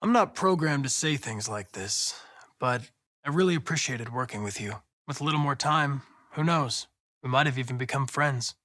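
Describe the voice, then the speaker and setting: A man's voice: medium pitch, moderate pace, bright, forceful tone, lightly acted. An American man playing a polite, analytical young android detective in a video game, earnest and determined, with a precise, formal delivery. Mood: soft regret.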